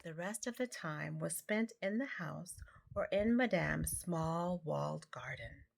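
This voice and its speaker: A woman's voice: sits low, lively intonation, a little slow, vocal fry. A woman reading a children's picture book aloud, stumbling now and then over words. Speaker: amateur storybook reader.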